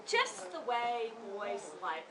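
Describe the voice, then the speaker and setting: A woman reading a children's story aloud in an expressive, theatrical way, pausing to engage her listeners. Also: on the high side, gravelly, a loud crowd. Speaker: author reading to a group of children. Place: room with children responding. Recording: distant microphone, noisy room.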